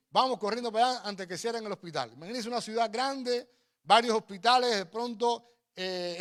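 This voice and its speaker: A man's voice: high-pitched, fairly bright tone. A man preaching and telling personal stories in an emphatic, exhorting way, repeating phrases. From Cuba. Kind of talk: sermon with personal storytelling.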